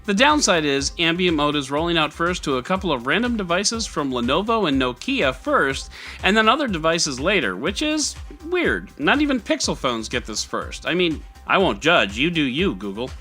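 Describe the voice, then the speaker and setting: Man, podcast host, tech news roundup, wry and sarcastic, very animated pitch, music underneath. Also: normal speed. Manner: sarcastic, conversational.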